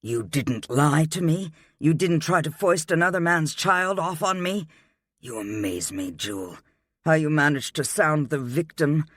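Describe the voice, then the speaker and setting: A man's voice: fairly high pitch, animated, measured pace, a bit bright, dry recording, studio quality, voice pushed up. A man voicing a cold, furious husband who sneers with icy contempt. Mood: icy sneering.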